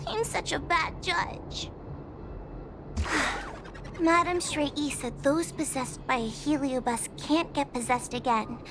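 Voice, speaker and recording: A woman's voice: sits high, fairly even intonation, takes it slowly, noisy background. A woman voicing a timid, easily frightened young girl in a video game, anxious and hesitant in manner. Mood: flustered, self-doubting. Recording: game music behind the voice.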